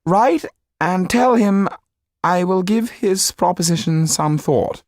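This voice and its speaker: A man's voice: high voice, animated, slow, no echo, studio quality, voice pushed up. A man voicing a callous, pompous French marquis in an audiobook, speaking with drawling disdain and dismissiveness. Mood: haughty.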